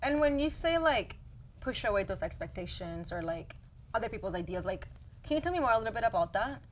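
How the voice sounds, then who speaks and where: A woman's voice: fairly high pitch, very animated, a little fast. Encouraging woman podcast host. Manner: warm encouraging, many "like" and "right?" tags. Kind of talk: casual heartfelt interview chat.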